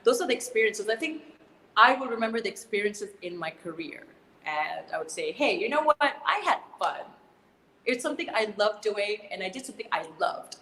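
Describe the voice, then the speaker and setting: A woman with a Malaysian English accent chats as a guest singer, animated and quick to laugh, leaning on fillers as she talks. Her voice sits at a middle pitch. The room is echoey, with a long reverb tail and a faint hiss of noise underneath. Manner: animated, laughs often, many "you know" fillers. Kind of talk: casual interview chat.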